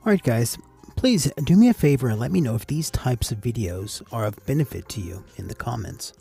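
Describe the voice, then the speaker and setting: A man giving a casual, measured instructional voice-over as an amateur radio operator hosting a tutorial. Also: mid-range voice, animated, gravelly, mellow, breathy edge, soft background music.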